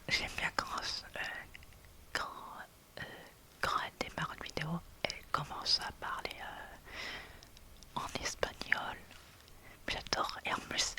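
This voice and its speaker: An ASMR creator whispering casual chat in a hesitant, halting way, often searching for words. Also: bright, forceful tone.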